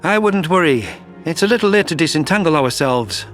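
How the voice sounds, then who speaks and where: Sci-fi audio drama: man playing a level-headed male alien advisor, somewhat high for a man, faint music bed. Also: lively intonation, a little fast, a bit bright. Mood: pragmatic, reassuring. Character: male alien military advisor, calm, pragmatic, reassuring. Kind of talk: audio drama narration dialogue.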